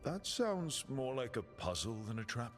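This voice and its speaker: A man's voice: medium pitch, sing-song, unhurried. A man playing an easygoing, inquisitive adventurer in a video game, conversational and dryly playful. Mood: wry. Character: easygoing, curious male adventurer from an assassin guild. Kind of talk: video game dialogue acting. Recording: music under the dialogue. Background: game music.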